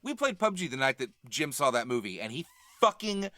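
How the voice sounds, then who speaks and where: A man co-hosting a podcast in casual, animated banter about movies and TV, opinionated and freely swearing. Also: medium pitch, brisk, dry recording, clean studio sound. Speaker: podcast co-host.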